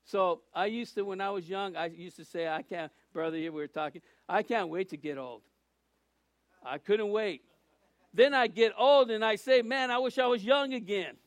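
An older man preaching in a relaxed, rambling way, with asides and little jokes. His voice sits high for a man. The sound is close and dry, with no room echo. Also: normal speed. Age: 60s. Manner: folksy, rambling, with jokes and restarts. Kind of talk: informal sermon.

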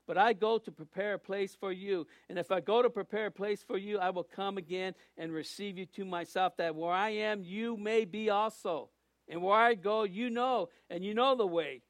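An older man preaching in a relaxed, rambling way, with asides and little jokes. His voice sits high for a man. The sound is close and dry, with no room echo. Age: 60s. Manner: folksy, rambling, with jokes and restarts. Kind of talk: informal sermon.

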